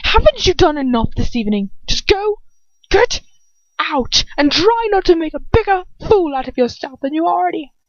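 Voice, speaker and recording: A woman's voice: extremely high pitch, very animated, moderate pace, dry recording, highs cut off, pitched above the performer's own voice, exaggerated voice. A woman acting a cold, haughty, curt man. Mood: angry, contemptuous. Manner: curt, spitting, drawling.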